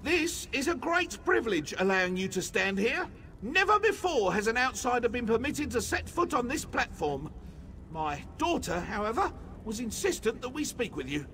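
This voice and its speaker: A man's voice: very high voice, very animated, moderate pace, pushed and bright, slight background noise, exaggerated voice. A man playing a stately, proud elf elder in a fantasy video game, formal and lofty. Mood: solemn.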